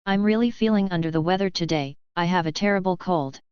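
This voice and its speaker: A woman slowly reading English idioms with their meanings and example sentences, repeating each one. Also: on the low side, clean tone, dry recording. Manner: reads each line plainly and repeats it. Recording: dull, slightly lo-fi voice track.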